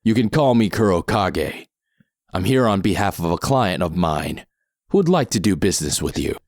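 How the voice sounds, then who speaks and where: An actor playing a calm masked mercenary in an audio drama, measured, unhurried and coolly threatening. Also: male voice, sits low, dry recording, clean studio sound, full character voice. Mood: coolly businesslike. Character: calm masked mercenary man, cool and menacing.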